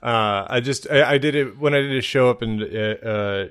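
A man's voice: mid-range voice, moderate pace, a bit bright, smooth. A man co-hosting a comedy podcast, chatting casually in a rambling way and acting out imagined quotes.

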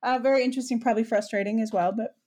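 A woman hosting a podcast interview, asking questions in a brisk, encouraging way. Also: on the high side, fairly even intonation, dark tone. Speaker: podcast host.

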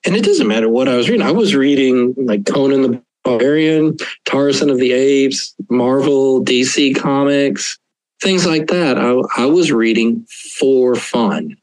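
A man's voice: mid-range voice, lively intonation, unhurried, a little mellow, dry recording, rough recording. A man, an author being interviewed, talking casually with lots of fillers and the odd chuckle. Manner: rambling, chuckling, many fillers. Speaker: author, guest.

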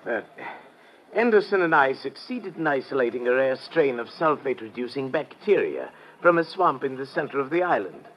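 An actor playing a nervous, overwrought scientist, agitated and earnest, in an old radio drama. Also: male voice, mid-range voice, sing-song, normal speed, lightly acted. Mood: distraught. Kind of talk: 1950s radio adventure acting. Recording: dull old radio recording with noise.

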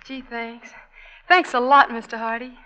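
A high-voiced crooning inn singer. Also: female voice, steady tone, sharp tone, clean tone. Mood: eager. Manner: crooning slowly when singing, eager when speaking. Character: young woman singer at an inn, eager. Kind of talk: 1940s radio musical. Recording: dull lo-fi 1940s radio recording with orchestra.

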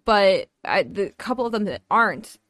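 A woman's voice: medium pitch, expressive, normal speed, fairly bright tone, no echo. A woman in her twenties with a mid-range voice, recorded dry with very little room sound. She chats as a podcast co-host, telling stories to a friend in an informal, blunt way. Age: 20s. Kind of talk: casual anecdotal chat with a friend.

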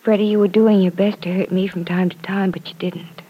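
An actress playing a gentle, guarded but kind young woman in an old-time radio drama, speaking politely and softly. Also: medium pitch, flat delivery, a little fast, husky, lo-fi sound, close to an everyday voice. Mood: gentle, forgiving. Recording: noisy vintage radio show recording.

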